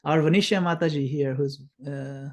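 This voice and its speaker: A man's voice: on the high side, steady tone, moderate pace, a little mellow. A middle-aged man from Kenya giving an earnest, explanatory spiritual talk over a video call, with fillers. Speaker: guest speaker.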